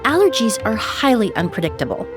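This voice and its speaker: Polite young woman perfumer, knowledgeable, measured, slightly high pitch, anime game acting, faint music. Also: big pitch swings. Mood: composed, inquiring. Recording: music playing behind the voice.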